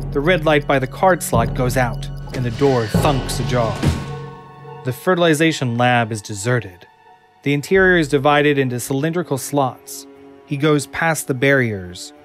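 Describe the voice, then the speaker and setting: A man reading scripted narration for an audio drama. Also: medium pitch. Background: music.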